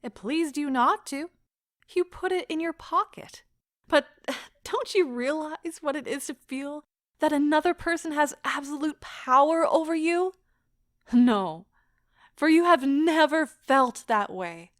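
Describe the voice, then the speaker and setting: A woman playing an anguished, pleading lover in a stage-style audio drama, with a theatrical, impassioned and deliberate delivery. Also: high voice, no room sound, clean studio sound. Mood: bitter, aggrieved. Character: anguished, pleading woman leaving a broken love affair.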